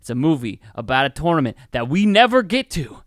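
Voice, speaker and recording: A man's voice: fairly high pitch, sing-song, fairly quick, no room sound, studio quality. A man hosting a movie podcast in casual chat, joking and swearing, with the odd mock-announcer flourish. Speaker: podcast host.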